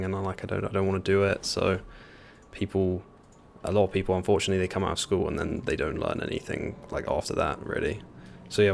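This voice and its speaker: Man, podcast host, very low voice, gravelly, relaxed questions. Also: slight background noise. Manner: relaxed, hedging with kind of and like. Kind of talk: interview questions.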